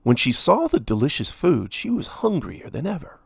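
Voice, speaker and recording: A man reading a children's story aloud in an expressive way, with deliberate, dramatic emphasis. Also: a little high, creaky, slightly rough, no echo, studio quality. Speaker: storybook reader, host.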